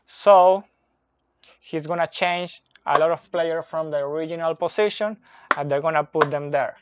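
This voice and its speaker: A man in his thirties whose first language is not English, explaining soccer tactics slowly at a whiteboard, recorded on a phone camera indoors. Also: a little high. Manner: slow, deliberate, with fillers and restarts, pointing at a board. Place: indoor room with a brick wall and whiteboard. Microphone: phone camera, close and moving.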